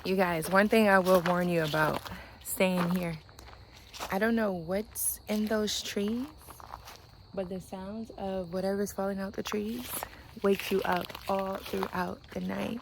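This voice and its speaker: A woman in her thirties, sleepy and a little raspy, chatting casually in a family vlog from inside an RV. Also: medium pitch, unhurried. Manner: chatty, relaxed, laughs often. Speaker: family vlogger. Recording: loud background noise.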